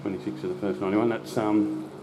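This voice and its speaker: A man's voice: on the low side, fast. A man casually narrating his walk through a stormwater drain, rambling and swearing freely. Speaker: drain explorer host. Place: underground stormwater drain tunnel. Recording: echoey tunnel with steady noise.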